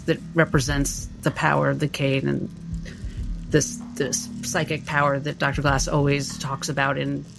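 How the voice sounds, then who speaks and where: A woman playing a tabletop game, narrating her character's actions in a halting, dramatic way. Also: sits low, creaky, poor audio. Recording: music bed under the voice.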